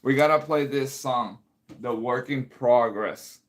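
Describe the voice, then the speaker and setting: A man's voice: mid-range voice, a little slow. A man whose first language is Spanish, hosting a casual livestream as a composer and chatting with viewers about their comments. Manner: chatty, reads out and answers chat comments. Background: loud music playing.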